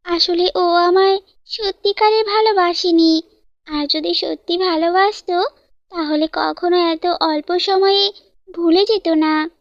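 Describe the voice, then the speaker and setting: Lady doing a really high little girl bird, lovesick and pleading, slow talking, dull dry sound. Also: steady tone, dry recording, full character voice. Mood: hurt, resigned. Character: lovesick young female bird, tearful and pleading.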